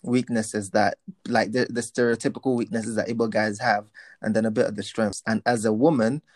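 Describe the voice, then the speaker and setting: A man's voice: lowish voice, fairly even intonation, fairly quick, a little mellow, no echo, clean studio sound. A man co-hosting a casual chat, teasing playfully and switching between English and Igbo. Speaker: co-host. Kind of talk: casual banter.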